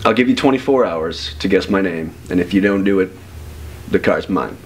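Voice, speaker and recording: A young man plays a cocky, shady stranger who peddles magical deals in an amateur short film. His voice is fairly low and his talk is smug and slangy. Faint noise runs under the recording. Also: normal speed, natural voice.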